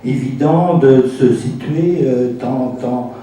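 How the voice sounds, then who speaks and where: A man presents informally to a local council as its president, explaining things with frequent pauses and tag words. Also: mid-range voice, warm tone. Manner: explanatory, often pausing and adding "hein" as a tag. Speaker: intercommunal council president addressing a meeting. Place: indoor council meeting room. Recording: echoey room, distant mic, faint noise.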